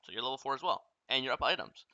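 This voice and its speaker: A man's voice: medium pitch, very animated, pushed and bright, no echo, muffled recording. A young man coaching a player through a game replay, talking bluntly and often swearing as he explains. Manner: blunt, profane, rapid explaining.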